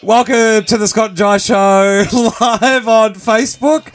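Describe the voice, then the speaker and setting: A man's voice: sits high, fairly even intonation, a little slow. Chatty man hosting a podcast. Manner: laughs often, chatty, reads out stats. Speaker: podcast host. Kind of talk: casual live podcast hosting.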